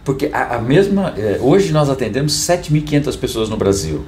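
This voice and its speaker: A hoarse Brazilian man with a mid-pitched voice speaks casually on a podcast. He is emphatic, repeating phrases and restarting mid-thought, in an echoey room with faint noise. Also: big pitch swings, brisk, a little mellow. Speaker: podcast host, hair tools business owner. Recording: roomy echo, faint background noise.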